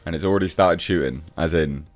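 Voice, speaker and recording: Male reviewer, low-pitched voice, blunt sceptical off-the-cuff commentary over clear background music. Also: fairly quick, no echo. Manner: blunt, sceptical, swears casually. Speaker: film reviewer, channel host. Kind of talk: casual off-the-cuff commentary on film news.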